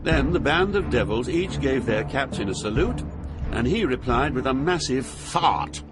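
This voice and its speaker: An older actor playing a solemn poet, narrating his journey through hell in a measured, literary way. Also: mid-range voice, lively intonation, over music, close to an everyday voice. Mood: grave, haunted. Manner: grave, measured, poetic.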